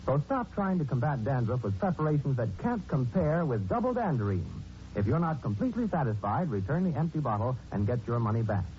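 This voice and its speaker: An actor as the host and narrator of a 1940s radio mystery, speaking with dramatic, emphatic delivery. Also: male voice, mid-range voice, big pitch swings, a little fast, a little hiss or hum, close to an everyday voice. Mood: persuasive. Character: ominous, taunting male radio mystery narrator. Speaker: host, narrator and product announcer. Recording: muffled 1940s radio recording with music.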